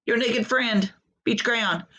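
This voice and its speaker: A woman's voice: on the high side, takes it slowly, sharp tone, voice pushed up, full character voice. A woman voicing an embarrassed crayon in a storybook, plaintive and pleading.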